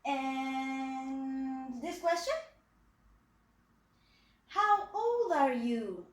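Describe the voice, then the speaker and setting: A woman in her twenties from Poland, teaching English to young children in a video lesson, repeating each phrase slowly for them to copy. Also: high voice, echoey room. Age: 20s. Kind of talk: slow, clearly spoken video lesson for kids.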